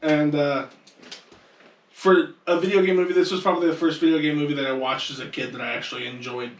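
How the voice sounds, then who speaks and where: A man's voice: on the high side, fairly even intonation, quick pace. A man co-hosting a podcast, chatting in a laid-back, informal way. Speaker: podcast co-host. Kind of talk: casual panel chat. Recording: roomy echo, distant mic.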